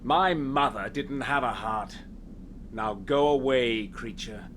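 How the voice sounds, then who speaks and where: A man's voice: mid-range voice, takes it slowly, a bit bright, slight background noise. An actor playing a middle-aged, world-weary but caring godfather in a video game, with a British accent. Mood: cold. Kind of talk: video game cutscene acting.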